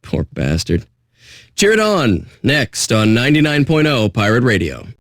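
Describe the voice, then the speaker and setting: An actor playing a jaded pirate radio DJ with a sardonic, blunt, profane way of talking. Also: male voice, medium pitch, very animated, unhurried, no echo, studio quality. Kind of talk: irreverent radio DJ patter.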